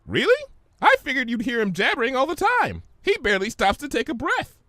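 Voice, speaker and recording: A hyperactive young cartoon mare acted out by a man, pitched extremely high. The delivery is playful and excitable, swooping widely from one exclamation to the next. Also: a little fast, no room sound, clean studio sound, full character voice. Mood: chatty.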